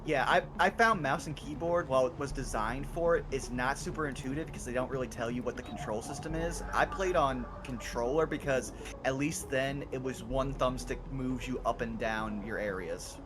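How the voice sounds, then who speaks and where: A man's voice: medium pitch, normal speed, noisy background. A man chatting casually as a co-streamer on a live game stream, stammering a little as he restarts.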